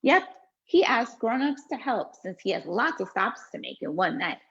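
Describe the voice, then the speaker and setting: A woman voicing a patient mother who calmly explains things to her child. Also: a little high, normal speed, slightly rough, exaggerated voice. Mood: reassuring. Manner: calm, explaining. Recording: dull lo-fi recording.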